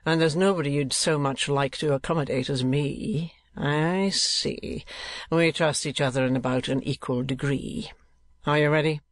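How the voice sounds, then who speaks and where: A man's voice: a little high, fairly even intonation, no room sound, natural voice. Man playing a dry, sneering moneylender. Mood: cool, shrewd. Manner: dry, contemptuous, deliberate mockery. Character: cold, sneering, sardonic male moneylender.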